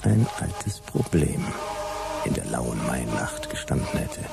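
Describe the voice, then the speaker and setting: Very deep, creaky hard-boiled detective. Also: male voice, wildly swooping pitch, takes it slowly, full character voice. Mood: wry, laconic. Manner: wry, laconic. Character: hard-boiled, grumpy, nostalgic male private detective of the future. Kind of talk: German radio play, first-person noir narration. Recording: loud music behind the voice.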